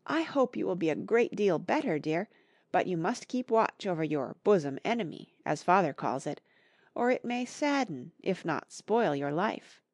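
A woman voicing a gentle, patient middle-aged mother in a classic novel, giving soft, measured counsel. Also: on the low side, sing-song, no echo, clean studio sound. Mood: gently warning. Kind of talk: acted audiobook dialogue from a classic novel.

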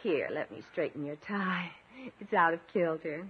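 A woman's voice: fairly low pitch, moderate pace, slight background noise, close to an everyday voice. Woman acting a sweet naive doting lady, kinda gushing and coy, old muffled radio comedy show. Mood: coy, affectionate.

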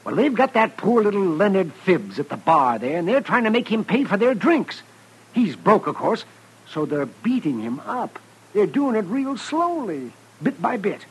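An actor playing a fussy, excitable town doctor with a folksy, indignant way of talking in a radio western. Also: male voice, high voice, normal speed, breathy edge, exaggerated voice, low-quality recording. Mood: urgent, indignant. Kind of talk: 1950s radio western acting.

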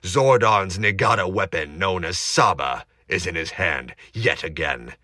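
A man's voice: sits low, expressive, measured pace, sharp tone, no echo, studio quality. A man giving dramatic scripted narration of an action story in an amateur audio drama. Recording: noisy amateur recording with heavy background noise.

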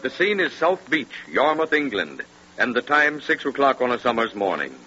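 Male grave true-crime narrator, deliberate 1930s radio drama delivery, very forceful, muffled old broadcast sound. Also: medium pitch, very animated, faint music behind. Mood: suspenseful. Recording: dull, lo-fi 1930s radio recording.

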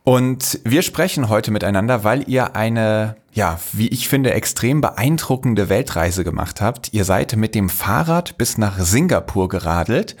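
A man's voice: lowish voice, lively intonation, measured pace, few pauses. A man hosting a podcast, introducing his guests and asking friendly, enthusiastic questions. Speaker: podcast host. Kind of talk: podcast interview.

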